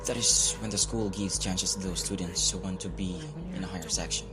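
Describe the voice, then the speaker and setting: A resentful young student in a radio drama, played by a man, earnest and low-voiced. Music plays clearly beneath his account. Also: fairly even intonation, a little fast, lightly acted. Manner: earnest, rising to anger. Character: angry young male student from the lowest class.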